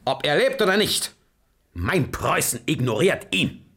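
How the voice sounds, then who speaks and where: An actor playing an irate, tyrannical old king who barks commands and broods in low mutters, in a 1970s radio drama. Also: very animated, unhurried, creaky, pushed and bright, breathy edge, heavily acted. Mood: commanding.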